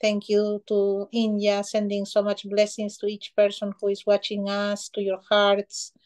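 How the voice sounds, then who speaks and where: A middle-aged woman from Peru, speaking slowly and reverently as a healer on a video call. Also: mid-range voice, completely flat delivery. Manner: slow, soft-spoken, reverent gratitude lists. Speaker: shamanic healer guest. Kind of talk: spiritual talk on a video call. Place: small decorated room indoors. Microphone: video call webcam.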